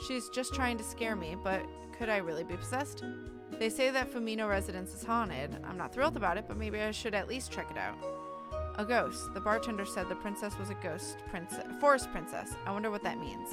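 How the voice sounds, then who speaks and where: A woman game streamer with an expressive, irreverent manner, giving casual let's-play commentary and reading game text aloud. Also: medium pitch. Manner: expressive, irreverent, reacts bluntly. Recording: game music behind voice. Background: game music.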